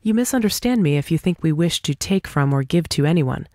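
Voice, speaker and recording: A woman's voice: low voice, animated, darkish tone, dry recording. Woman reading as confident, articulate individualist debater, close dry sound. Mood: firm, reasoning. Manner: articulate, deliberate, rhetorical questioning. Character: confident, argumentative individualist debater, patient but pointed. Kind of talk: scripted dialogue reading for an audiobook.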